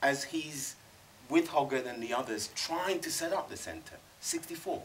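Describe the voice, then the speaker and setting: A man's voice: a little high, lively intonation, a little slow, slight background noise, rough recording. A middle-aged man on a panel in a cinema, speaking thoughtfully and emphatically, often restarting his sentences. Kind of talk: panel discussion. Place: cinema screening room with audience.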